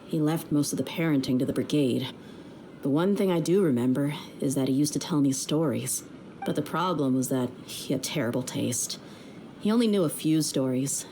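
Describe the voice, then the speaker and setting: A woman's voice: low voice, dark tone, a little hiss or hum. A woman playing a tough, easygoing young mercenary in a video game, frank and self-assured. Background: background music.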